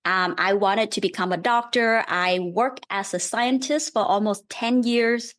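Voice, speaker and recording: A woman's voice: mid-range voice, unhurried, a bit bright. A woman in her thirties from Vietnam with a Vietnamese accent and Vietnamese as her first language, explaining things step by step in a friendly, thoughtful way as a podcast guest. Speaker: guest real estate investor. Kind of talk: casual podcast interview.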